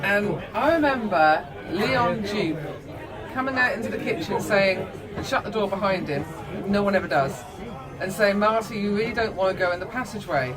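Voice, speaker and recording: Casting: middle-aged mum, animated casual anecdote-teller who acts out dialogue, recorded amid clear background chatter indoors. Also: female voice, medium pitch, moderate pace. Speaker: mother telling family stories.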